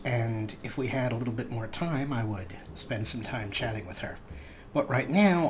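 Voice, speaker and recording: A man's voice: medium pitch, slight background noise. A man giving casual let's play commentary as a gaming host, sometimes reading dialogue aloud.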